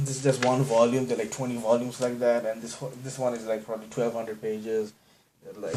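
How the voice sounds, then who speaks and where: A man's voice: mid-range voice, mellow, slight background noise, rough recording. A man from Bangladesh with a Bangladeshi accent, hosting a podcast in a rambling, self-deprecating monologue that keeps trailing off and restarting. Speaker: podcast host.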